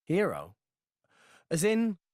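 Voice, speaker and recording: A curious, earnest teenage boy in a game cutscene, with very lively pitch. He talks slowly and informally, full of wonder, in a dry recording. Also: no room sound, exaggerated voice. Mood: puzzled. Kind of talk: video game cutscene acting.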